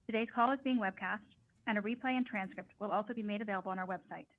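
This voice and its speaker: A woman's voice: medium pitch, racing. A woman moderating a corporate earnings call, reading a formal scripted announcement over a narrow line. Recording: narrow, tinny conference call audio.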